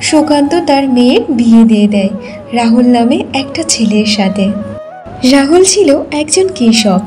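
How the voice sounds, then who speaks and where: A woman's voice: on the high side, expressive, normal speed, mellow, soft background music. A woman narrating a scripted cartoon folk tale as the storyteller.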